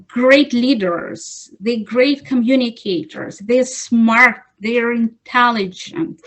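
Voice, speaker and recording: A woman's voice: on the high side, fairly bright tone, low-quality recording. A woman from Russia with a Russian accent, answering interview questions as a club president, earnest and searching for words.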